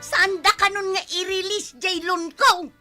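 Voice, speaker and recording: Woman acting a shrill, boastful Ilocano village wife. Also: steady tone, unhurried, sharp tone, faint music behind, heavily acted. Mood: outraged, wailing. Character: boastful, hot-tempered village wife planning a grand birthday. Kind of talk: comedy radio drama acting.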